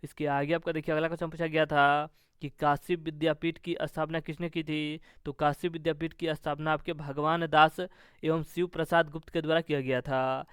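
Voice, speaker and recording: Clear, even-toned man teaching. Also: a little high, moderate pace, dry recording, clean studio sound. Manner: methodical, repetitive question-then-answer delivery. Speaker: exam coaching teacher. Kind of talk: instructional question-and-answer exam review.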